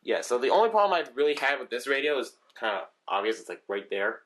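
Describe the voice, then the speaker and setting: Bright-voiced young guy touring his radios. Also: medium pitch, sing-song, fast, slight background noise. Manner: rambling, lots of ums and restarts. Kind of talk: casual video tour. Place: room at home with shelves of radios.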